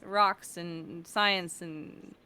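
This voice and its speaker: A woman chatting casually and playfully as a guest on a livestream, quick to laugh. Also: on the low side, fairly even intonation, bright, forceful tone, slight background noise. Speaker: guest, voice actor and audio director.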